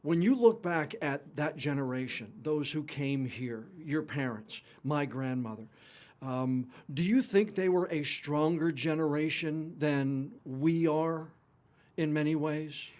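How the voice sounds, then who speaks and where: A man's voice: fairly high pitch, a little slow, darkish tone. A man interviewing a guest, speaking attentively and backing him up with frequent short agreements. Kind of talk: interview conversation.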